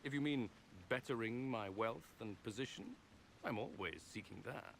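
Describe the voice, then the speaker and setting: A man's voice: mid-range voice, normal speed, gravelly, a bit bright, slight background noise. An actor playing a smug, scheming nobleman in a video game, pompous and oily with mock courtesy. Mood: smooth, persuasive. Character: smug, scheming male nobleman, genteel and condescending.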